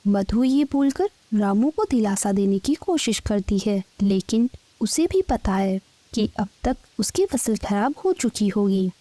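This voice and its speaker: A woman's voice: mid-range voice, lively intonation, measured pace, mellow, no echo. A woman narrating a scripted bedtime story.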